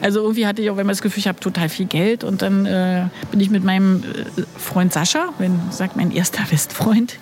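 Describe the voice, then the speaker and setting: A woman's voice: medium pitch, fairly even intonation, brisk, hardly any pauses, darkish tone. A woman, a guest telling stories in a casual interview, chatty and full of fillers. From Berlin. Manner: chatty storyteller with many fillers. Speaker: guest, former flight attendant.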